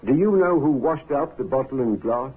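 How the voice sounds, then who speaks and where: Husky, courteous inspector, old radio. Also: male voice, medium pitch, lively intonation. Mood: courteous, probing. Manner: courteous, measured questioning. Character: polite, methodical male Scotland Yard police inspector. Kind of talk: 1950s radio drama acting. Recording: muffled 1950s radio recording.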